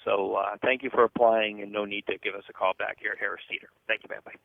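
A man with a low, gravelly voice leaves a formal voicemail as a manager. He is stiff, polite and halting, heard over a narrow phone line. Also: level tone, no echo. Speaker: grocery store hiring manager.

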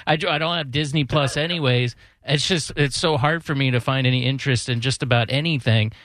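A man's voice: mid-range voice, fairly bright tone, dry recording. Male talk radio host, mid-range voice, loose rambling conversational delivery, self-deprecating, very dry sound. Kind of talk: casual chat.

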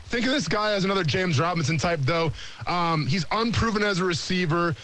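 A man's voice: sits high, fairly quick, a bit bright, poor audio. A man hosting a sports radio talk show, rambling and joking his way through draft picks. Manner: rambling, joking, repeats himself.

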